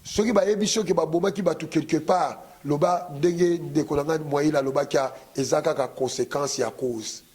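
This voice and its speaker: A man's voice: fairly high pitch, fairly even intonation, normal speed, few pauses, a little mellow. A man giving a rousing political speech at a conference, impassioned and leading the crowd in call-and-response chants. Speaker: conference speaker.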